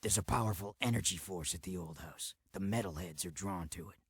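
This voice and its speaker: An actor playing a curt, hard-bitten resistance lieutenant in a video game, with a raspy voice and a terse, commanding manner. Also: male voice, sits low, a little fast, no echo, studio quality, full character voice. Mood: low, serious. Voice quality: raspy, gravelly. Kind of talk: video game cutscene acting.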